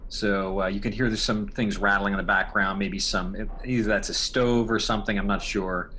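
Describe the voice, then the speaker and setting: A man giving an informal tutorial, talking haltingly and thinking aloud as he demonstrates his work. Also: on the low side, steady tone, pushed and bright, slight background noise. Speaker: podcast creator demonstrating sound design.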